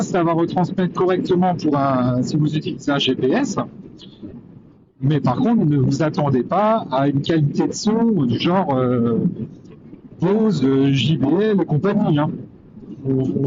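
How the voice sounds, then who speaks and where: A man giving a casual, hesitant commentary while riding a motorcycle, thinking aloud into a helmet intercom mic. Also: on the high side. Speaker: motovlogger reviewing gear. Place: on a motorcycle on the road. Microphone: intercom boom mic inside helmet. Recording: muffled helmet intercom mic, loud wind noise. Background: wind and vehicle noise.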